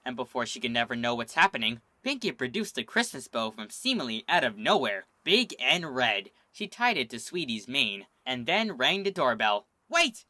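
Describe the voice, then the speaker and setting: Man narrating a storybook reading, lively pitch, bright voice, soft music under. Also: moderate pace, hardly any pauses, no room sound. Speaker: fanfic reader and channel host.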